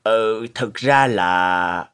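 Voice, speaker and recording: A man playing a good-hearted, worried elderly grandfather in a cartoon, speaking earnestly and haltingly. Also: on the low side, a bit bright, smooth. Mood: sheepish, hesitant. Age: older. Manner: halting, earnest, swooping intonation. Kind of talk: cartoon dialogue acting.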